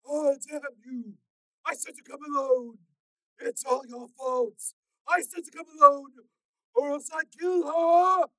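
A man voicing an unstable male kidnapper who screams and rants in a drawn-out, raised voice. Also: very high voice, steady tone, fairly bright tone, dry recording, voice pushed up, poor audio. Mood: anguished.

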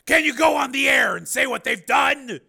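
A man voicing an angry, commanding renegade police lieutenant in an audiobook, with forceful, clipped, demanding delivery. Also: sits high, big pitch swings, normal speed, creaky, slightly rough, pitched above the performer's own voice, exaggerated voice. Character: defiant male cyborg police lieutenant on the run, commanding, angry.

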